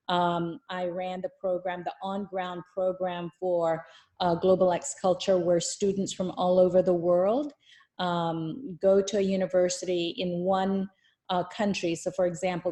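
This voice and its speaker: A woman's voice: lowish voice, mellow. A woman, an international business professor, giving a welcome talk to students over a video call, with many fillers and restarts.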